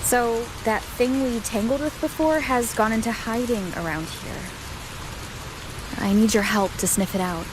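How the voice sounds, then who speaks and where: An actress playing a young female wandering hero in a video game, calm, kind and determined, speaking in a measured, gentle manner. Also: on the high side, noisy background, lightly acted. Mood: calm, resolute.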